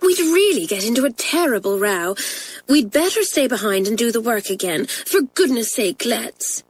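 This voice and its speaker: A woman's voice: fairly high pitch, very animated, moderate pace, slightly rough, voice pushed up, poor audio. A woman reading an audiobook voices a polite, anxious schoolgirl who pleads earnestly. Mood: pleading. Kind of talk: audiobook character voice.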